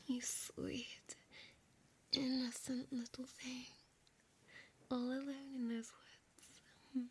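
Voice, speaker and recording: A woman playing a centuries-old, possessive female vampire in an intimate audio roleplay, speaking tenderly in a breathy, hushed whisper. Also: high-pitched, level tone, slow pace, full character voice. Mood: tender, enchanted.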